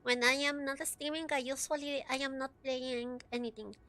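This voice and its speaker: A woman streamer playing a game, chatting casually and giggling, with a slightly raspy voice. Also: high-pitched, level tone, moderate pace, sharp tone. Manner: giggly, chatty, talks to chat. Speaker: VTuber streamer. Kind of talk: casual gaming commentary.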